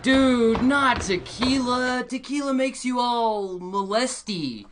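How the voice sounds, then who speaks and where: A man's voice: very high-pitched, slow pace, very noisy. A man voicing a cocky, dismissive board-game player in an amateur live script read. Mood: brash. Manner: smug, dismissive.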